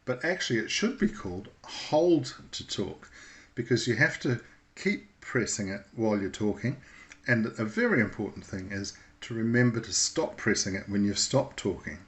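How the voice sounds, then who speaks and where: Low-pitched man giving informal instruction. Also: lively intonation, normal speed.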